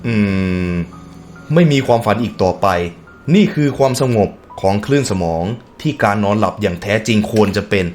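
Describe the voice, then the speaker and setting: A man's voice: fairly low pitch, expressive, moderate pace, darkish tone, faint music behind. A man hosting a reaction video, reading text aloud and breaking off into casual musing remarks and hums.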